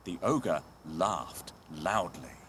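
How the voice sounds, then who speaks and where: A man narrating a scripted children's story in an expressive, dramatic way. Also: low voice, very slow, slight background noise.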